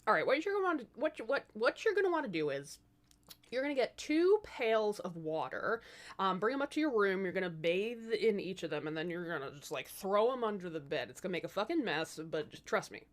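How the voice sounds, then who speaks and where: A woman's voice: medium pitch, sing-song, brisk, lightly acted. A woman voicing a tipsy, blunt beggar woman in a comic fairy tale, rambling in sassy slang. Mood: rambling, advising. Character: tipsy, blunt, foul-mouthed beggar woman giving magical advice.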